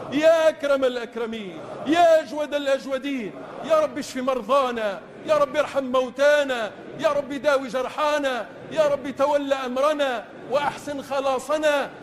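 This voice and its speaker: A man's voice: extremely high pitch, a little fast, some chatter behind, slight background noise. A man leading a congregational supplication as imam, pleading with passion in chanted, repeated phrases. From Tunisia. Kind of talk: Islamic supplication prayer in classical Arabic, chanted oratory. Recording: long echoing reverb of large space.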